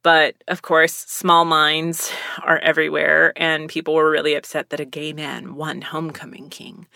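A woman's voice: lowish voice, no room sound. A woman in her thirties, a voice actor chatting casually, telling candid, self-deprecating stories full of acted-out quotes, speaking into a studio microphone in a small home recording setup. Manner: animated storytelling with laughs and acted-out quotes. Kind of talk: casual chat. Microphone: studio microphone with headphones.

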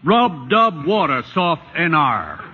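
An actor playing a brisk, matter-of-fact American building contractor in a 1940s radio comedy. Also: male voice, fairly high pitch, very animated. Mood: businesslike. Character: brisk, breezy building contractor pressing his bills. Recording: muffled, echoing, noisy old radio broadcast.

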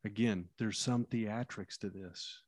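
Lower-pitched man with a carrying voice. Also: slow pace, no echo. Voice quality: loud, carrying voice. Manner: animated storyteller, acts out courtroom lines, drops voice low for effect. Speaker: criminal defense lawyer. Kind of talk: casual conversation about trial practice.

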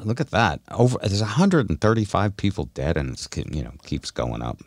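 Low voiced radio host guy, swings up and down a lot, kinda deadpan, super dry close sound. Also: fairly quick, no echo. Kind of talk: casual radio banter.

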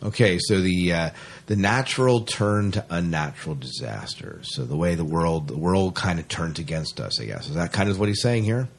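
Low husky man, chatty podcast host. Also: lively intonation, moderate pace. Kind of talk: casual sci-fi podcast chat.

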